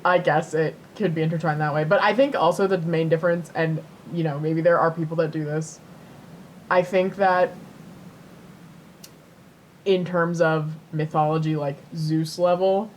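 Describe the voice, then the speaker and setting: A woman's voice: low voice, fairly even intonation, moderate pace, a little hiss or hum, low-quality recording. A woman co-hosting a podcast in casual, unscripted chat, with a playful, hedging manner. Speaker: podcast co-host.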